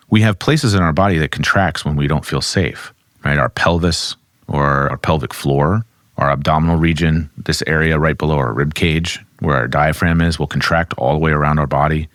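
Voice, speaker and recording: A man, a somatic therapist, calmly explaining and demonstrating grounding exercises at his desk. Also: extremely low pitch, no room sound, studio quality. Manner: calm, instructive, speaks while demonstrating.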